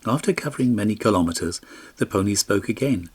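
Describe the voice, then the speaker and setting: Male audiobook narrator, fairly low and slightly rough, telling a fairy tale with expression. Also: expressive, a little fast.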